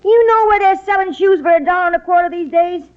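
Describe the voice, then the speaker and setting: A woman's voice: very high voice, fast talker, a little mellow. A woman playing a folksy housekeeper with a dry, grumbling manner in an old-time radio comedy-drama. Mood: grudging haggling. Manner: dry, folksy, homespun phrasing. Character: folksy, grumbling housekeeper, Miss Bixby, quick to complain. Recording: dull, noisy old radio recording.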